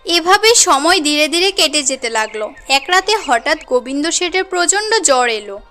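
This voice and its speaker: High-voiced woman narrating a cartoon story. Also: sing-song, normal speed, bright, forceful tone, faint music behind.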